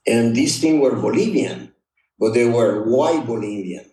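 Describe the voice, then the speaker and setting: A man's voice: medium pitch, mellow. Echoey, distant Honduran-accented man telling stories. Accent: Honduran Spanish. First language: Spanish. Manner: halting, reflective, often repeats "you know". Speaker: podcast guest. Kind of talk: personal storytelling in conversation. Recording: roomy echo, distant microphone.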